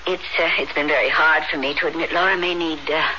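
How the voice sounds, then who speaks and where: An anxious, proper mother in a radio play. Her voice is bright and tense, with faltering delivery. The old recording is dull, with faint noise. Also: low-pitched, fairly even intonation, quick pace. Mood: anxious, hesitant. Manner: hesitant, faltering. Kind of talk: radio drama acting.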